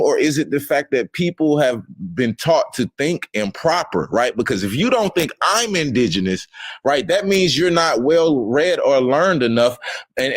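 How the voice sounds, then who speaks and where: A man hosting a casual group debate, talking in an emphatic, lecturing way and often checking in with "right?" and "okay?". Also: medium pitch.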